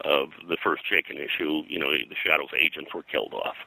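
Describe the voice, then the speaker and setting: Very deep, forceful older man. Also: dry recording, rough recording. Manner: halting and digressive, frequent throat clearing. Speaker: guest, pulp and comics historian. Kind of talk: interview conversation, reminiscing about his work.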